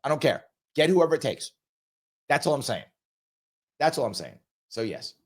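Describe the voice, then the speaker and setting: A man's voice: mid-range voice, very animated, normal speed, breathy edge. A man hosting a political talk show, reading out viewer comments and answering them bluntly, repeating his points, with a cough he is getting over. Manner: blunt, emphatic, repeats himself for emphasis. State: lingering cough.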